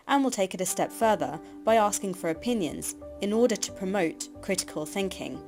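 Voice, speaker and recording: A woman giving scripted narration for a teacher training course, reading in an even, measured way. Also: on the low side. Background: background music.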